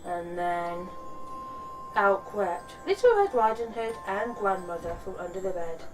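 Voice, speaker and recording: A woman hosting and narrating a children's bedtime story in an expressive, deliberate, dramatic way. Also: mid-range voice, far from the mic, over music, poor audio.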